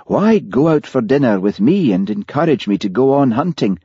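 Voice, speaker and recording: A man acting a steady, plain-spoken male village police sergeant in an audiobook, somewhat formal. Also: medium pitch, normal speed, slightly rough, dry recording, dull audio, voice pushed up. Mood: dismayed. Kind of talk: audiobook character voice.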